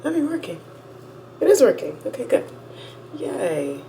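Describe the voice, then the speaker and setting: A woman's voice: on the high side, sing-song, measured pace, vocal fry, mellow, slight background noise, poor audio. Chatty young woman with a stuffy cold. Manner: chatty, rambling, self-interrupting. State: congested, getting over a cold. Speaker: vlogger. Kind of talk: casual get-ready-with-me chat. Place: at home, indoors.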